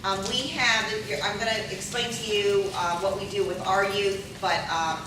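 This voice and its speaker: A middle-aged woman speaks to a conference audience in a loud, friendly teacher voice, often pausing on ums and asking the room questions. She is picked up from a distance in a big, very echoey hall with clear background noise. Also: mid-range voice, steady tone. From Maryland.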